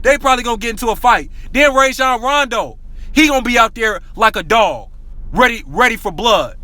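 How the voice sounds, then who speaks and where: A high, bright-voiced man fires off a hyped basketball rant full of slang and repetition. His voice sounds worn, like he is losing it, and he keeps calling out to his viewers. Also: brisk, dry recording. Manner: excitable, repetitive, slangy, addresses viewers as y'all. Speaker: sports talk vlogger.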